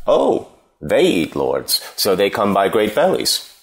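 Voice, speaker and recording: An actor playing a churlish cynic philosopher in a Shakespeare reading, answering with biting contempt. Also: male voice, on the low side, wildly swooping pitch, takes it slowly, vocal fry, exaggerated voice. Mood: scornful, biting. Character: Apemantus, scornful churlish cynic philosopher, male. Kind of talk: unrehearsed Shakespeare play reading.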